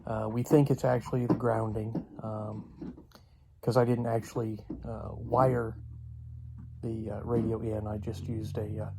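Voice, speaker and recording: A man vlogs off the cuff in a monotone with a gravelly edge. He speaks haltingly and hesitantly, pausing often as he casually explains. The home-made recording has clear noise behind him. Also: fairly low pitch. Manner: halting, hesitant delivery with long pauses. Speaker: vlogger. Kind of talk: casual unscripted vlog talk.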